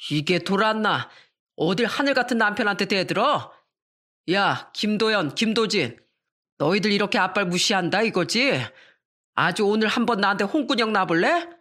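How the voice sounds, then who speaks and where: A woman's voice: mid-range voice, expressive, normal speed. A woman voicing a drunk, abusive middle-aged father who bullies his family. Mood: drunk yelling. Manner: bullying, yelling.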